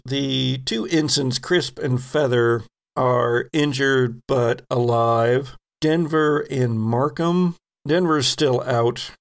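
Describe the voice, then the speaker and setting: Creaky low-voiced man running a game. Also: takes it slowly. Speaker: game master of a tabletop RPG podcast. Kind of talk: improvised game narration, conversational.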